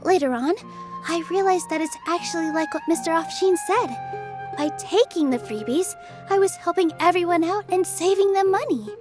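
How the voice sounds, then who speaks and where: A woman playing a sweet, helpful young woman in a video game, talking in a gentle, earnest, reassuring way. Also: very high-pitched, moderate pace. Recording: game music behind voice. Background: clear game music.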